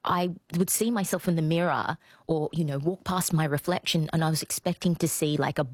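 A woman with an Australian accent answering radio interview questions in a reflective, measured way with thoughtful pauses. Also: deep voice, no echo, clean studio sound. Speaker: interview guest, playwright and actor. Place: radio studio.

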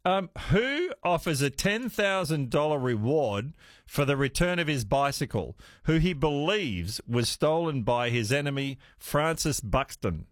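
A man hosts a talkback quiz on the radio, his pitch swinging up and down as he chats and laughs with callers. The studio sound is dry and close. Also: measured pace, no room sound. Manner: animated, chatty, laughing easily. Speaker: radio host.

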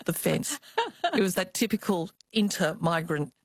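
An older woman with an Australian accent, telling personal memories as an interview guest in a thoughtful, expressive way. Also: on the low side, measured pace, husky, dry recording. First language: Cantonese. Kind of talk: reflective personal storytelling.

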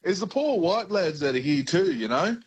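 A man's voice: high-pitched, big pitch swings, normal speed, breathy edge. A young man with an Australian accent calling a talk radio show, rambling and colloquial. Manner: rambling, colloquial, repeats "you know what I mean". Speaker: caller. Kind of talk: casual phone-in chat.

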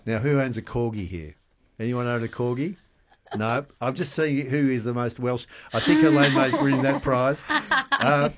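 A man hosting an interview, playful and jokey, with rambling questions. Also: medium pitch. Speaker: radio host. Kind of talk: chatty interview.

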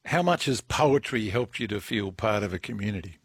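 A man's voice: fairly low pitch, expressive, a little fast, slightly rough, no echo. A man from Australia hosting an interview, asking thoughtful questions with frequent stammered restarts. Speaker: radio interviewer.

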